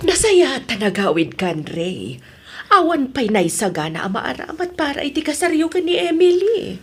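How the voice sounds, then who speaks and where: A woman acting in a family drama as a concerned mother of a grown son, expressive and insistent. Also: high-pitched, normal speed, faint music behind. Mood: insistent, worried.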